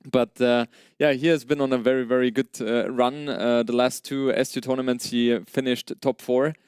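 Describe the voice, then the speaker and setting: Clear, conversational male esports commentator. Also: mid-range voice, flat delivery, normal speed, a little mellow, no echo, studio quality. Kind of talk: live tournament commentary.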